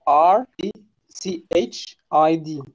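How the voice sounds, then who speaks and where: A man's voice: a little high, slow, lo-fi sound. A man from Morocco with a Moroccan accent, speaking as a guest on a casual online video call. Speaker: guest joining a group video chat. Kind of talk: casual chat.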